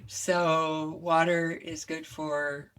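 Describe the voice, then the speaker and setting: Animated woman voice coach. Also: low voice, very slow, clear, resonant tone. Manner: expressive, demonstrates voices with yawns, howls and shouts. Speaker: voice coach guest. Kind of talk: animated conversational explaining.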